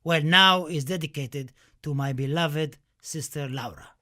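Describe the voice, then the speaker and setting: High-voiced, impassioned Spanish poet, acted by a man. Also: a little slow, sharp tone. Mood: solemn, mournful. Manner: impassioned, declamatory, dramatic. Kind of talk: theatrical radio-play monologue and poetry recital. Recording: music under the voice. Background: music.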